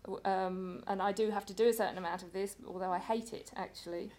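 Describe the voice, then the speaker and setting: Measured woman speaking on a panel. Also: mid-range voice, steady tone, a little mellow. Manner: measured, with stumbles and repeated words. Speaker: literary translator on a panel. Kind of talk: panel talk.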